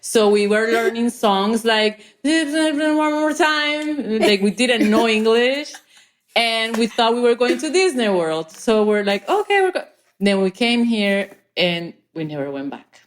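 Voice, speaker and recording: A woman's voice: a little high, expressive, measured pace. A woman from South America speaking conversationally as an interview guest. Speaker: podcast guest, actress and producer.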